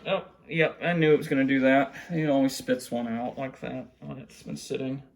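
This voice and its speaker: A man with a gravelly, creaky voice giving casual unscripted commentary, muttering to himself while he works with his hands. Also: mid-range voice, moderate pace. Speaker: hobbyist vlogger showing a radio collection. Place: small indoor room at a cluttered desk.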